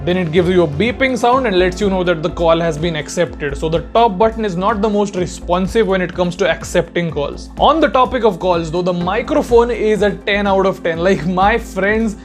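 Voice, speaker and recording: A man's voice: sits high, normal speed, hardly any pauses, soft background music. A young man giving a casual, explanatory product review in an informal way. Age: young adult. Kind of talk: casual spoken review to camera.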